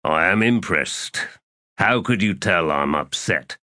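A man voicing a weary, deadpan manservant, low-pitched. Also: takes it slowly, no echo, studio quality, full character voice. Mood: dry, resigned. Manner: deadpan, understated. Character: weary, dry manservant, secretly an elder god. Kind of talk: audiobook character dialogue.

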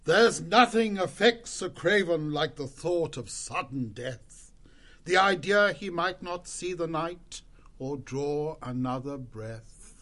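A man's voice: fairly high pitch, animated, slow, fairly bright tone, voice pushed up. A man playing a stern, haughty male owl knight in a storybook, with a pompous, declamatory manner. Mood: grim disdain.

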